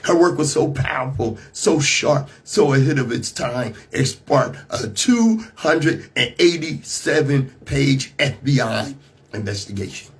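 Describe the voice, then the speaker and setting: A man with an American accent and a slightly rough voice, hosting a radio talk show with emphatic, rhetorical commentary. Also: mid-range voice, animated, measured pace. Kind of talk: radio monologue mixing scripted commentary and casual asides.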